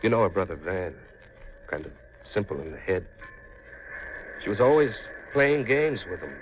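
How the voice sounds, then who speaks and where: Haunted seafaring man, low-voiced, brooding and folksy; 1950s radio drama, noisy recording. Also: very animated, moderate pace, lo-fi sound. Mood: brooding, insistent.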